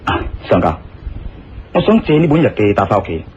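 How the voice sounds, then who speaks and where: Measured, thoughtful male investigator. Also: on the low side, big pitch swings, a little hiss or hum. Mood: puzzled, thoughtful. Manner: measured, reasoning. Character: thoughtful male investigator, calm, questioning husband. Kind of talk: Cantonese radio drama acting. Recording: dull lo-fi radio recording, music behind.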